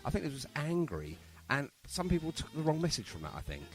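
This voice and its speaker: A male radio host in casual interview mode. His pitch moves up and down in a lively way as he rambles along, chatty and ready with a joke. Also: fast, faint music behind. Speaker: radio show and podcast host.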